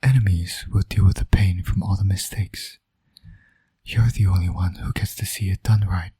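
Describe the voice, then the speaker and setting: A man playing a flirtatious villain and doting father in romantic roleplay audio, speaking in a smug, teasing, intimate way with a breathy, often near-whispered voice. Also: low voice, steady tone, moderate pace, warm tone. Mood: seductive, smug.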